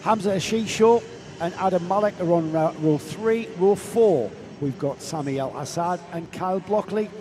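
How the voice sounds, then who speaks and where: A man's voice: sits high, normal speed, noisy background. Chatty man hosting a live race stream. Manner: chatty, jokey, reads out chat names. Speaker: race commentator and stream host. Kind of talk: live motorsport commentary and chat.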